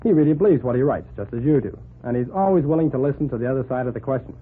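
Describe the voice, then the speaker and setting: An actor playing a brash, eager newspaper reporter with breezy slang, in 1940s radio drama style. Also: male voice, medium pitch, lively intonation, very fast, husky. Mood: earnest. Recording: noisy, phone-like 1940s radio recording.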